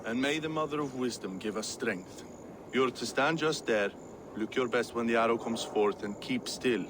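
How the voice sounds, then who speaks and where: Guy doing a curt game henchman, mid voice, clear outdoor noise behind. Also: medium pitch, normal speed, natural voice. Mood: guarded. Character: curt male henchman guarding a secret meeting. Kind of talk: game cutscene acting.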